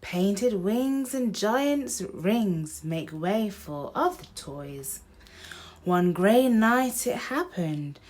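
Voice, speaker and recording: A woman's voice: medium pitch, a little mellow. A woman hosting a children's picture book read-aloud, speaking directly to young listeners.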